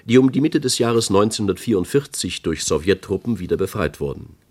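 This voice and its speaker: A man's voice: fairly low pitch, lively intonation, a little fast, darkish tone. A man reading reference entries in a radio play in a formal, factual manner. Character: sober male reader of encyclopedia and guidebook entries. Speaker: reader of quotations.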